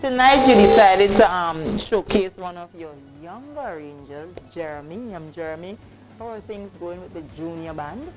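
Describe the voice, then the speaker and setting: A woman's voice: lowish voice, expressive, normal speed, over music. A woman with a Grenadian accent hosting an on-site interview, asking chatty, teasing questions. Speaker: event host interviewer.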